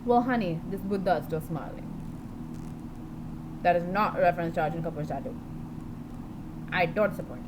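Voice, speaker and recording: A woman talking in an unscripted video diary, rambling and jumping from topic to topic, blunt and quick to swear. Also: on the low side. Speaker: vlogger. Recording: steady background noise with passing vehicles.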